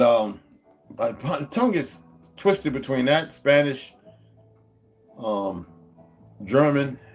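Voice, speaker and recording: A man chatting casually to his viewers at home, wandering from topic to topic with many restarts. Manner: meandering, restarts, talks to his dogs in a high coaxing voice. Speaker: vlogger. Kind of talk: rambling casual vlog to viewers. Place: indoors at home.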